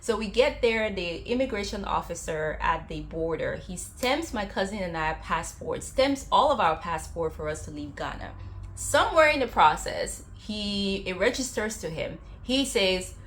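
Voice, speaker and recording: A young woman from Liberia, a travel vlogger, talking casually to viewers and telling stories with animated quoted lines. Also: mid-range voice, normal speed. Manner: chatty storytelling, acts out quotes with lively jumps.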